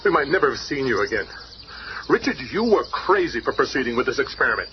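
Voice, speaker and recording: An actor in a radio drama playing a belligerent, blustering pilot who talks in slang and complains. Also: male voice, mid-range voice, sing-song, fast, natural voice. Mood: bitter, grumbling. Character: belligerent gruff male pilot turning into rock monster. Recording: noisy, dull old radio recording.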